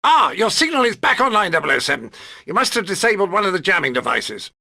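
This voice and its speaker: An actor playing a fussy, exasperated older British gadget master in a video game, precise and testy. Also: male voice, sits high, very animated, fast talker, gravelly, bright, forceful tone, no echo, full character voice. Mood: testy, brisk.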